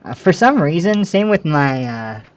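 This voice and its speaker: A man's voice: on the high side, big pitch swings, measured pace, dull audio. A man in his late twenties chatting casually with viewers while streaming a game, rambling and correcting himself as he goes, with frequent laughs. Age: 20s.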